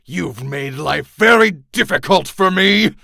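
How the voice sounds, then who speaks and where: A man voicing a cruel, alien-possessed middle-aged man who snarls threats and shouts. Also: sits high, lively intonation, takes it slowly, gravelly, no room sound, studio quality, voice pushed up, heavily acted. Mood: cold threatening.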